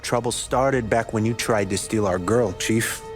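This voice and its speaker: A man's voice: lowish voice, measured pace, faint music behind, close to an everyday voice. A man playing a smug, sneering street punk.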